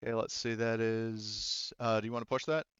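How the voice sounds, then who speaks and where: A man running a tabletop game as game master, explaining rules casually in a halting way and often repeating himself. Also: fairly low pitch, flat delivery, fairly bright tone, smooth, dry recording, lo-fi sound.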